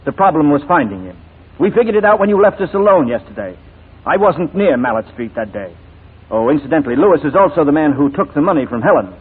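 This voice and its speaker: An actor playing a clever, intense titled gentleman in a 1940s radio drama, articulate and pointed in his delivery. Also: fairly high pitch, big pitch swings, quick pace, slightly rough. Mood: earnest explaining. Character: clever, intense titled gentleman, wrongly suspected, coolly persuasive. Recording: thin, phone-like 1940s radio recording.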